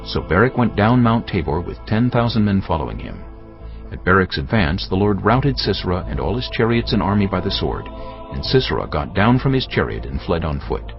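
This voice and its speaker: A deep-voiced man narrates scripture in a solemn, measured storytelling style. His intonation rises and falls dramatically. Music plays beneath the slightly dull recording. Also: very animated, gravelly. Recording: dull audio with music behind.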